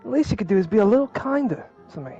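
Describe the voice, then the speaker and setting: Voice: mid-range voice, sing-song, racing, dark tone, slightly rough, muffled recording, soft background music, voice pushed up. A player voicing a haughty, condescending young rich man who scorns commoners in a game recap. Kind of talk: improvised voice acting over a game.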